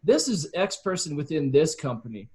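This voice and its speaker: A man's voice: a little high, big pitch swings, normal speed, darkish tone, breathy edge. A man giving advice as an interview guest, explaining his approach casually and conversationally. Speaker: interview guest, copywriting expert.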